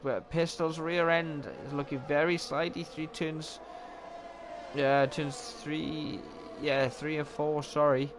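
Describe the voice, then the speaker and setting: Welsh guy calling a sim race, kinda high voice, chatty and self-deprecating, noisy background. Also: slow. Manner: chatty, self-mocking, corrects himself often. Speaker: sim racing league commentator. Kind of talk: live race commentary. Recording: steady background noise.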